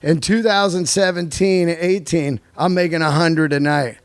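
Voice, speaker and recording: A man's voice: fairly high pitch, steady tone, normal speed, clean tone, dry recording. A man hosting a casual podcast conversation, speaking emphatically and in slangy language, often repeating himself for effect. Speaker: podcast host, comedian and musician.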